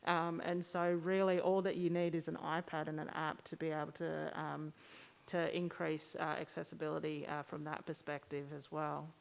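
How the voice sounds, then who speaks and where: Woman, slightly low voice, session moderator, hesitant phrasing, spoken Q&A. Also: little pitch movement, moderate pace, creaky. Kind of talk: spoken question-and-answer session.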